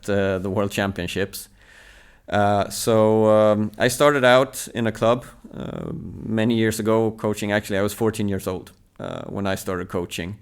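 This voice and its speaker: A man in his thirties whose first language is Swedish, answering interview questions in a low, smooth, resonant voice. He speaks reflectively and at an even, measured pace, often pausing on hesitant fillers. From Sweden. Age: 30s. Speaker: national team table tennis coach, podcast guest. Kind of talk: reflective casual conversation.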